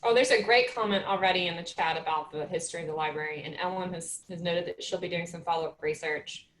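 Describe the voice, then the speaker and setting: A woman commenting in a virtual planning meeting on a video call, measured and thinking aloud with fillers. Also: fairly low pitch, a bit bright, a little hiss or hum, rough recording. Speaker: community participant. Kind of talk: meeting discussion.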